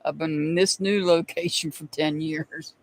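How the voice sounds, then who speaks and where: A man's voice: a little high, fairly even intonation, measured pace. A man from the United States with a slight Southern accent, hosting a live tarot stream in a chatty way, laughing often and answering viewers by name. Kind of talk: casual live-stream chat.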